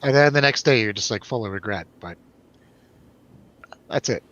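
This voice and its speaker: Bright, forceful man chatting. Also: mid-range voice, normal speed, lo-fi sound. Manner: rambling, animated storytelling, casual swearing. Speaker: film review show host. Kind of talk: casual chat, film review over drinks.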